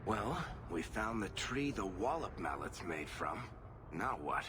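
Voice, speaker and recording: A man's voice: low voice, very animated, measured pace. An actor playing a genial, joking male storyteller companion in a fantasy video game, with a wry, laid-back delivery. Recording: clearly noisy background.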